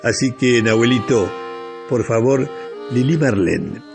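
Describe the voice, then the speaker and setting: A man with an Argentine Rioplatense accent and a husky voice, hosting a radio show with spontaneous, emphatic, discursive storytelling. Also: medium pitch, expressive, a little slow, music in the background. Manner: emphatic, discursive, dramatizes quotations. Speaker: radio host. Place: radio studio.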